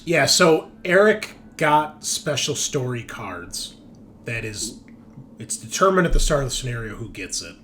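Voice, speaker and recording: A man's voice: mid-range voice, very animated, measured pace, a bit bright. A man speaking casually as a game master, explaining how a tabletop game scenario works.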